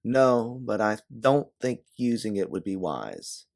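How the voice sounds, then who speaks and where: A man's voice: on the low side, very animated, slow, gravelly, voice pushed up, full character voice. A man voicing a hurt, weary fugitive woman in an audio storybook, terse and guarded. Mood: strained, evasive.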